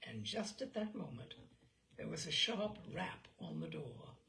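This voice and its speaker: A woman's voice: low voice, very animated, unhurried, creaky, a bit bright, slight background noise. A woman narrating a literary short story aloud in a dramatic storytelling style.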